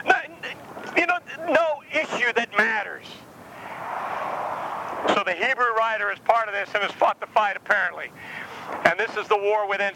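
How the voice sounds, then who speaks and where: A man's voice: very high-pitched, sharp tone. A man preaching an informal, earnest sermon, a little scratchy-voiced from jet lag. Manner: earnest, informal, addresses listeners as brother. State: jet-lagged, slightly scratchy voice. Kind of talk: conversational sermon.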